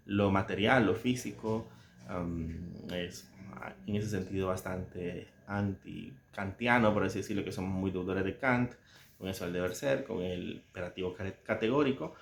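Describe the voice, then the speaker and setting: A man from El Salvador with a Salvadoran Spanish accent, talking casually and reflectively about a book he loves, searching for words with soft chuckles. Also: on the low side, roomy sound. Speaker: book reviewer. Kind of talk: casual spoken book commentary.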